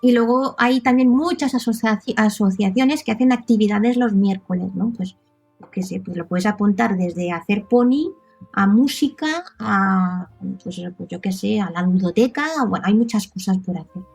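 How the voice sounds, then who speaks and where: A woman's voice: medium pitch, normal speed, vocal fry, darkish tone, soft background music. A woman in her thirties from Catalonia with a Catalan accent, a native Catalan speaker chatting casually as an interview guest, often adding tag questions. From Catalonia, Spain. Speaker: podcast guest.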